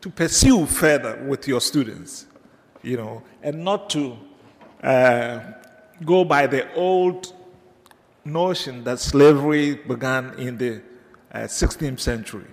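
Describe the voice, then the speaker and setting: A man from Ghana with a Ghanaian accent, giving an unscripted academic lecture to teachers, hesitant with many filler pauses and digressions. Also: mid-range voice. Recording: reverberant lecture hall.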